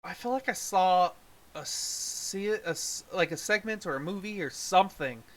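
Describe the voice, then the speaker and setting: A podcast co-host in a casual review chat, haltingly rephrasing thoughts while talking. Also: mid-range voice, fairly bright tone.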